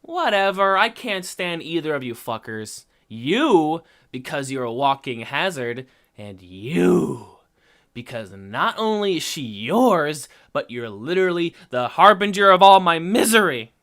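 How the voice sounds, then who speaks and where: A man's voice: on the high side, big pitch swings, a little slow, a bit bright, no echo, studio quality, full character voice. A man playing a surly, sarcastic, foul-mouthed grudging informant in an audio drama. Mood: irritated. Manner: sarcastic, irritable, cursing.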